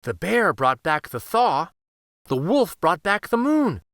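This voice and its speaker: A man's voice: high-pitched, very animated, slow pace, fairly bright tone, no room sound, clean studio sound, pitched above the performer's own voice, heavily acted. A man voicing an earnest, brave young woman, the pleading heroine of a storybook fairytale. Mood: earnest appeal.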